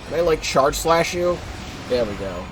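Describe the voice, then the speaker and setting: A man's voice: fairly high pitch, expressive, moderate pace, background noise. A congested young man giving rambling, casual commentary while streaming a game live, often correcting himself and swearing offhand.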